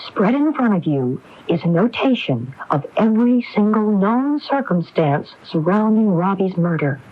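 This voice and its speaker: An actress playing a resolute, determined woman in a 1980s radio mystery, speaking insistently and deliberately. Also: mid-range voice, big pitch swings. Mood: urgent, determined. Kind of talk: 1980s radio drama acting. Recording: dull, muffled AM radio recording.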